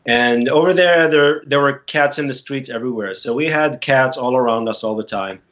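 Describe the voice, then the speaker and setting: A man's voice: medium pitch, moderate pace, a bit bright. A man from Israel in his forties, telling his story as an interview guest in an earnest, reflective way with frequent restarts. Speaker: interview guest, activist founder. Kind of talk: casual interview talk. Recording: dull, lo-fi sound.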